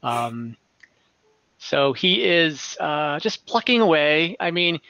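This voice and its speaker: A man runs a tabletop game as game master in a bright, slightly high voice. He improvises casually and haltingly, with some amusement. The sound is close and dry, a bit dull like video-call audio. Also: sing-song, gravelly, dry recording. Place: at home indoors on a group video call.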